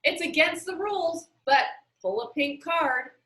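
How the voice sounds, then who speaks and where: A woman's voice: very high voice, unhurried, fairly bright tone. A woman reading the part of a rule-minded, reluctant boy team leader in a children's storybook. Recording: roomy, echoey sound.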